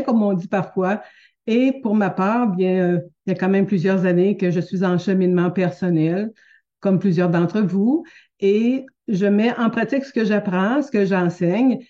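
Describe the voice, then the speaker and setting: A woman from Quebec with a Québécois accent, hosting a live webinar as a teacher, talking unscripted with many fillers and restarts in a gentle, earnest way. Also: medium pitch. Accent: Québécois French. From Quebec, Canada. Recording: dull lo-fi webinar audio.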